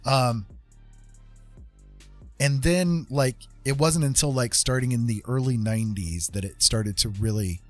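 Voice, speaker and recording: An American man hosting a livestream, chatting casually and explaining things in an informal, halting, rambling way. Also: medium pitch, faint music behind. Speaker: livestream host chatting with viewers.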